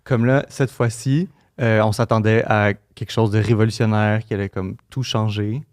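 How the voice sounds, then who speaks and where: A man with a Quebec French accent co-hosts a casual, humorous podcast. His voice is a little low and recorded very dry. He comes across as wry and understated. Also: fairly even intonation, normal speed, darkish tone, no room sound. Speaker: podcast co-host.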